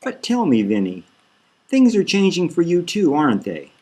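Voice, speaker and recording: A man voicing a smooth, probing bull-man doctor in an audiobook, asking calm questions. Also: on the high side, normal speed, pitched above the performer's own voice, heavily acted.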